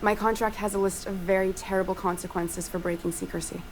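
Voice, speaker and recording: A woman playing an earnest, guarded research scientist in a video game, speaking in a formal, measured and articulate way. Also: medium pitch, steady tone, faint music behind, close to an everyday voice, poor audio. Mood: guarded, worried. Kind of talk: video game dialogue acting.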